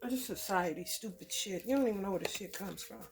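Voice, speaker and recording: A woman from the American Midwest giving a loose, profane tarot reading. Her throat is scratchy from allergies, and she keeps clearing it and laughing between thoughts. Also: mid-range voice, fast. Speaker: tarot reader. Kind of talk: casual spiritual reading to camera.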